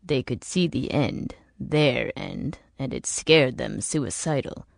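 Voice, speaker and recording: A woman voicing a bitter, world-weary older man in an audiobook, explaining grim things in a measured, emphatic way. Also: extremely low pitch, lively intonation, vocal fry, no echo, studio quality, pitched below the performer's own voice, exaggerated voice. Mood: grave. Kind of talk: audiobook character dialogue.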